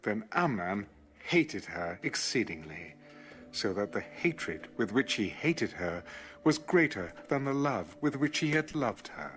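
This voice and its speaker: A man narrating an audio Bible in a scripted, dramatic storytelling style over soft music. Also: fairly low pitch, big pitch swings, measured pace.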